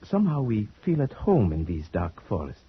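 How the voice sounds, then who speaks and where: Suave, low-voiced courtly gentleman, old radio. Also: wildly swooping pitch. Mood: earnest, musing. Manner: courtly, formal, deliberate. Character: suave Slavic gentleman, guest and brooding narrator. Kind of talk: old-time radio drama acting. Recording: dull old radio recording, faint noise.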